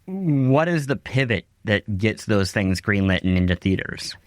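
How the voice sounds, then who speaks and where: A man's voice: lowish voice, big pitch swings, measured pace, no echo, clean studio sound. A man hosting a podcast interview in a conversational style.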